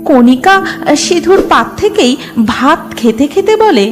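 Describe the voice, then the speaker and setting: A woman narrates a scripted ghost story in a fairly high, very expressive voice, with clear music playing behind her. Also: measured pace.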